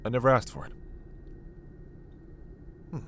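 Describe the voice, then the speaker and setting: A man playing a gentle, scholarly, protective alien doctor in a sci-fi ASMR roleplay, speaking in a measured, formal, precise way. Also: mid-range voice, sing-song, a little hiss or hum. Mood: polite, thoughtful.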